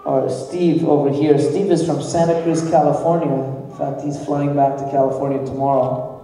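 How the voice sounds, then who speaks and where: A man in his forties with a slight Nepali accent, a band leader chatting casually from a church stage with relaxed, halting speech. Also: medium pitch. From grew up in Nepal. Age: 40s. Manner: relaxed, halting, many fillers. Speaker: band leader introducing the group. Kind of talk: casual stage talk to a congregation. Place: large church hall. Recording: echoey hall from far off.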